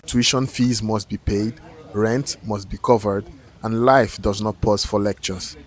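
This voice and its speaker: A man reading a news feature narration in a measured, solemn way. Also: fairly low pitch, fairly even intonation. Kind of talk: scripted news report narration.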